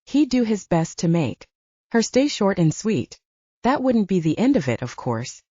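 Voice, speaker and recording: A woman's voice: medium pitch, very animated, measured pace, warm tone, slightly rough, dry recording. A woman reading scripted fiction as an audiobook narrator, in a slightly dull recording.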